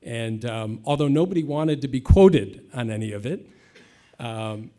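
Thirties male presenter at a stage podium, native English speaker, easygoing, dotted with ums, mid-pitched voice. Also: expressive, measured pace, mellow. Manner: conversational, light humor, frequent fillers. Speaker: conference speaker. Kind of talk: conference presentation. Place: conference stage. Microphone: podium mic.

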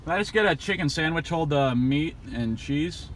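A young man chatting casually in a slangy, profane, deadpan way as he pulls pranks from inside a car. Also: a little high, moderate pace, a little hiss or hum. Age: young adult. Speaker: prankster ordering at drive-thrus. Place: inside a car at drive-thrus. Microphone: handheld camera from passenger seat.

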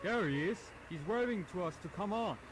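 A man playing an excitable guard in a superhero comic dub. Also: high-pitched, brisk, noisy background. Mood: excited.

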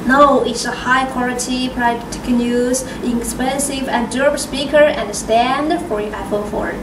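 Higher-voiced woman demonstrating, distant and noisy. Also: fairly even intonation, moderate pace. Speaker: product demonstrator. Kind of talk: product demo presentation. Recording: roomy, distant mic, clear background noise.

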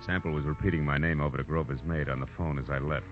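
An actor with an American accent playing a tough, wry private detective, terse and slangy, in 1940s radio style. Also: male voice, extremely low pitch, fairly even intonation, fast. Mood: wry, tense. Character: hard-boiled male private detective narrator, tough and wry. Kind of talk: 1940s radio acting, first-person detective narration. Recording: dull old radio recording with music.

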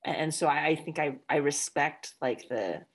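A woman's voice: deep voice, measured pace. A young woman, an author, speaking casually on a video call from home, with many "like" fillers. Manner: casual, filler-heavy with frequent "like". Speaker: guest author. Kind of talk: casual conversation. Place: home room, indoors.

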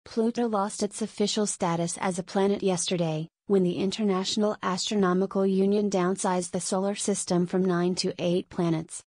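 A woman's voice: medium pitch, flat delivery, normal speed, few pauses, a little mellow, no room sound. Woman reading steadily, dry sound. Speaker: sample answer reader. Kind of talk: exam read-aloud sample answer.

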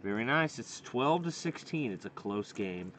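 A man's voice: medium pitch, animated, moderate pace, background noise. A man hosting a casual family coin hunt outdoors, keeping score and explaining finds in an encouraging way. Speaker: coin hobby host. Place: outdoors at a campsite table.

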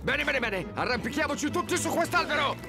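A man's voice: extremely high pitch, lively intonation, a little fast, creaky, heavily acted. A man voicing a boastful, bossy monkey gang leader in a children's cartoon, theatrical and gloating. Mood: urgent, commanding. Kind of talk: animated children's cartoon acting. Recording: loud music under the voice.

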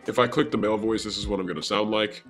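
A man voicing a deep-voiced male figure through a pitch-lowering voice changer, matter-of-fact. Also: steady tone, fast talker, fairly bright tone, faint music behind.

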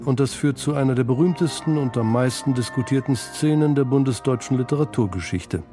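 A man narrating a scripted literary radio feature in a measured manner. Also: mid-range voice, music in the background.

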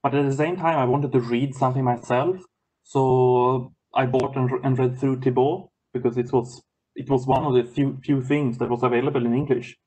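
Swedish man, non-native English, halting speech with frequent restarts, guest on a video call. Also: mid-range voice, level tone, darkish tone, husky, rough recording. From Sweden. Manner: halting, many restarts and repeated words. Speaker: guest lecturer.

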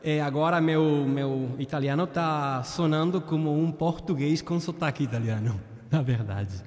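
A man's voice: a little high, a little slow, a little mellow. A man in his thirties with an Argentine accent, chatting casually with viewers during a live-stream singing session and groping for words as he switches languages. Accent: Argentine Spanish. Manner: chatty, switching between Spanish and Portuguese, searching for words. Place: small indoor room with a virtual beach backdrop. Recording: long reverb on the voice.

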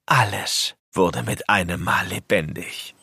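A man's voice: low-pitched, slow pace, gravelly, sharp tone, husky, dry recording. A man narrating a scripted children's story as its storyteller, close to the microphone.

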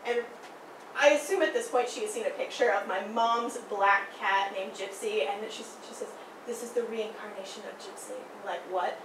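A young woman telling a personal story in a casual, animated way, acting out the people she quotes. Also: medium pitch, moderate pace. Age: young adult. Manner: animated, acts out quoted voices. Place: small indoor room by a theatre poster. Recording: roomy, distant, noisy.

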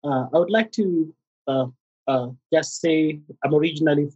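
A middle-aged man with a Kenyan accent, a therapist speaking as a podcast guest, pausing often with fillers and restarts. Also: a little high, darkish tone, breathy edge, dull audio. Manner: halting, many fillers and restarts. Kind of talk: conversational interview.

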